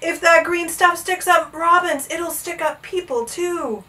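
A woman's voice: very high-pitched, measured pace, pushed and bright, far from the mic, pitched above the performer's own voice, heavily acted. A woman voicing a baffled, fretful old man who rings bells in a storybook, muttering and startled. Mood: baffled, worried. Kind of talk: animated storybook character voice.

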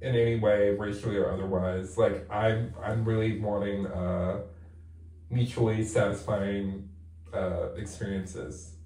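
A man's voice: lowish voice, little pitch movement, unhurried, dark tone. Guy in his 40s, reflective, lots of "like", sitting in a tub, echoey bathroom, mic far off. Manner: thoughtful, reflective, many "like" fillers. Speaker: guest playwright. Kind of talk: candid interview talk. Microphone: far camera.

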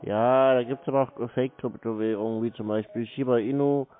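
A man with a mid-pitched voice chats casually over a narrow phone line. He is laconic and noncommittal, keeping his replies short. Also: steady tone, brisk. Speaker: show host answering scam calls.

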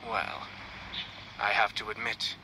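An actor playing a composed young male noble commissioner, speaking in a smooth, measured, politely mocking way in a video game. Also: lowish voice, fairly even intonation, background noise. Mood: calm, needling. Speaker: clan commissioner confronting an official.

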